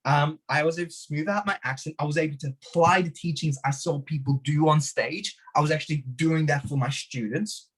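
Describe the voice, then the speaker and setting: Husky Hungarian-accented man talking with passion. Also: fairly high pitch, low-quality recording. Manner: passionate, swears for emphasis, repeats his motto. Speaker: podcast guest. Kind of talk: motivational interview talk.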